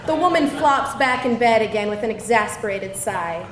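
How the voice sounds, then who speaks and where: A woman's voice: fairly high pitch, animated, moderate pace. A woman narrating scripted stage directions at a live screenplay table read. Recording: echoey room, distant mic, faint noise.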